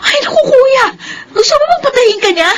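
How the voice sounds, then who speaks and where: A woman's voice: extremely high pitch, expressive, a little fast, fairly bright tone. A Filipino woman acting an anxious, protective younger sister who pleads urgently in a radio drama. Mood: alarmed pleading. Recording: music playing behind the voice.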